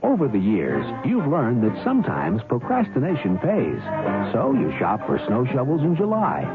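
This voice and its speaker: A man's voice: mid-range voice, huge pitch swings, moderate pace. A man reading a vintage radio commercial as its announcer, selling emphatically. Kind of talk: 1970s car commercial read. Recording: dull old radio ad, loud music.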